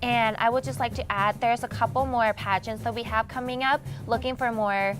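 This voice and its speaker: A woman's voice: on the high side, fairly even intonation, normal speed, music in the background. A young woman from Thailand, a native Thai speaker, answering interview questions in a casual conversational way. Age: young adult. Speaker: interview guest, pageant titleholder and journalism student.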